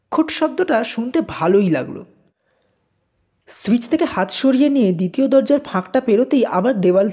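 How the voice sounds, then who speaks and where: A woman narrates a suspenseful audio story in a high, husky voice. Her pitch moves in lively, dramatic swings as she tells it. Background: music under the voice.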